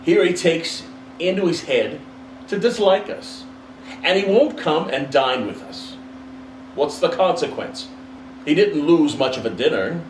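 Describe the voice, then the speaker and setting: Man playing a jovial young gentleman, swooping pitch. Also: animated, measured pace. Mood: amused. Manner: amused, hearty, exclamatory. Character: jovial, good-humoured young gentleman nephew. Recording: roomy, distant mic, faint background noise.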